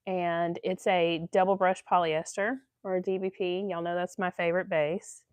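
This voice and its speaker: A woman's voice: on the low side, a little mellow, no room sound. A woman hosting a casual sewing livestream, stuffy from allergies, chatting along with lots of ums. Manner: chatty, rambling with many ums. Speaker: sewing channel host.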